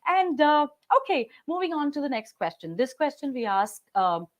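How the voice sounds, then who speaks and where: A woman hosting an interview show, lively and animated as she asks questions and addresses viewers. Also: high voice. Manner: lively, animated, quick laughs. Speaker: show host.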